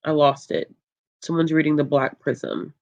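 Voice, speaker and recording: A book channel host chatting casually on a livestream, reading out viewer comments in a calm, low voice, relaxed and laughing often with lots of ums. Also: unhurried, gravelly, highs cut off.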